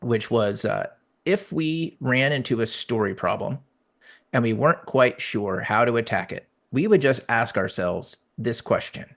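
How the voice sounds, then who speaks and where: A man's voice: mid-range voice, expressive, a little slow, vocal fry, fairly bright tone. A man casually telling an anecdote in a wry, joking way.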